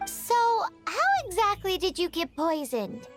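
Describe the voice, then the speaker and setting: A woman voicing a tiny, chirpy female fairy companion in a video game, with a squeaky, excitable voice. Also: measured pace, music in the background, exaggerated voice. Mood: curious.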